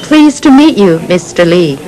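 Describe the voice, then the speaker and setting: A polite sales manager. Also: female voice, on the low side, very animated, measured pace, dark tone, some chatter behind, low-quality recording. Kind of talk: scripted textbook dialogue.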